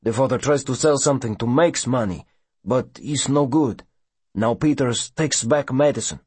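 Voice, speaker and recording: Man playing an earnest immigrant, halting and husky. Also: medium pitch, darkish tone, no echo, natural voice. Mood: earnest, troubled. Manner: halting, broken grammar, earnest. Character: earnest male immigrant passenger with halting English.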